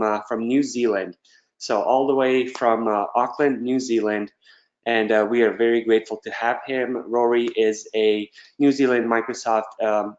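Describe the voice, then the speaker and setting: A man hosting an online meetup, introducing the guest with many pauses and fillers. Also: medium pitch. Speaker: meetup host. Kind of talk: event introduction. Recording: echoey, dull lo-fi.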